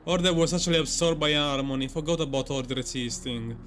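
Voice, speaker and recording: A man's voice: on the high side, fast talker, a bit bright. A man giving casual, playful reactions as a gaming streamer while he plays through a story. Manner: informal, playful. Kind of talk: casual let's-play reaction commentary.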